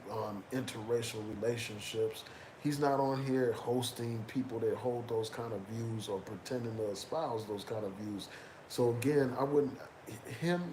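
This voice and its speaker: A man with a cold, sniffling as he comments loosely to his viewers, with lots of "um" and "man.". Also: lowish voice. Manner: loose, conversational, fillers like "man" and "um". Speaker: YouTube commentator reading chat. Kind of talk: casual live-stream commentary. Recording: echoey room with background noise.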